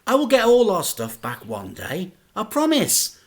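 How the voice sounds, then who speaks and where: A man's voice: sits high, wildly swooping pitch, unhurried, voice pushed up, heavily acted. A man voicing an earnest eleven-year-old boy who promises to cheer up his sad father. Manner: earnest, pleading.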